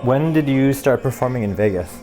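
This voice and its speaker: A man's voice: medium pitch, lively intonation, fairly quick, loud music behind the voice, rough recording. A man hosting a casual interview, chatty and admiring, laughing often.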